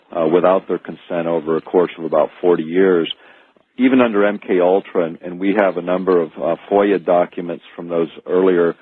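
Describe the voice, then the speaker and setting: A North American man with a husky voice, explaining in earnest, careful detail as a guest on a radio interview. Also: low-pitched. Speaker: guest author and researcher. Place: remote call from Alaska into radio show. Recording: phone-like, echoey archived radio call.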